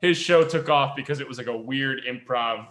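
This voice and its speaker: A man chatting casually as a podcast host, telling stories in an animated way. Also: medium pitch, normal speed, faint music behind. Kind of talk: casual talkback podcast chat.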